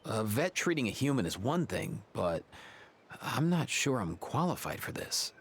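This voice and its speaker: A man's voice: medium pitch, very animated, moderate pace, slight background noise. A man acting as a laid-back, cautious young vet in a video game, with a casual, dry and slightly hesitant delivery. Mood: careful, puzzled. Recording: music under the voice.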